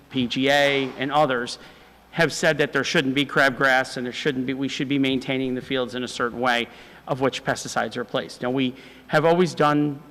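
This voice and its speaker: Loud-voiced city official explaining a policy. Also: male voice, medium pitch, big-room sound, poor audio. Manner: explanatory, repetitive. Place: council chamber.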